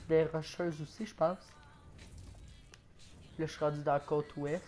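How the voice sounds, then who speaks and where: Stuffy-voiced Québécois gamer with a cold. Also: male voice, fairly high pitch, level tone, measured pace, a little mellow, soft background music. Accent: Québécois French. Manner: hesitant, many fillers and restarts. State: congested with a cold, coughing. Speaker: gaming YouTuber. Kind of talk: casual gameplay commentary.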